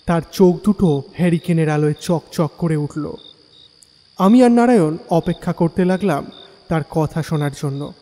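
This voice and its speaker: A man acts as an uneasy male narrator of a horror audio story. His voice is high for a man but mellow, moving with measured suspense. It rings in a long reverb over a music bed. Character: uneasy male first-person narrator listening to ghost tale.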